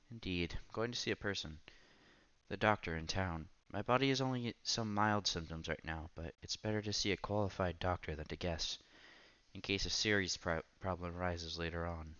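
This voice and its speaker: A man with a fairly low, bright, forward voice reads a visual novel aloud in a casual let's-play style. He trips over words and corrects himself, over faint music on a slightly dull mic. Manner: stumbles over words while reading, self-correcting. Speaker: host reading a visual novel.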